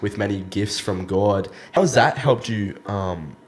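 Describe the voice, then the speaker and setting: Low-voiced podcast host. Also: male voice, measured pace. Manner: earnest, many "um" fillers. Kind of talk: casual podcast interview.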